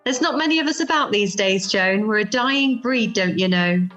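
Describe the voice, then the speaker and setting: Woman acting breezy, opinionated, animated woman. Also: mid-range voice, normal speed, dull audio, natural voice. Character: opinionated, breezy, teasing childless married woman. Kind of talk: radio play acting. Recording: video call recording with music.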